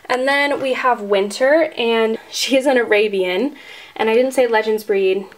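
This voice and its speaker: A woman's voice: a little high, unhurried, rough recording. A young woman talks her way through a tour of her toy horse stable, chatty and easygoing. She fills gaps with ums and likes while filming up close with the camera. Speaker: toy horse channel creator. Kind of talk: casual model barn tour.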